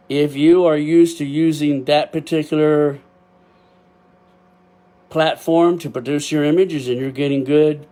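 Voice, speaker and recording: Older Texan man, podcast host, rambling heartfelt talk with laughs, webcam mic. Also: on the high side. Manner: emotional, rambling, laughs often; loud at times, deaf and can't hear himself. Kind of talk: casual solo podcast talk. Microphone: built-in webcam microphone.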